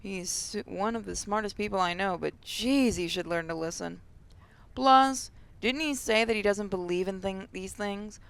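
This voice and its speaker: A woman hosting a gaming channel, reading visual novel narration aloud as expressive first-person storytelling. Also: mid-range voice, normal speed, vocal fry, smooth.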